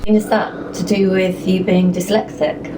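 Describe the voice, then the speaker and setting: A woman's voice: fairly low pitch, flat delivery, moderate pace. A woman asking questions as an interviewer in a conversational style. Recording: clear ambient noise from live event.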